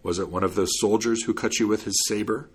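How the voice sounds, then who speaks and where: Storybook judge performed by a male reader, stern and doubting, dry delivery with a husky edge. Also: lowish voice, steady tone, fast. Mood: skeptical. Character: stern, skeptical male judge in a folk tale.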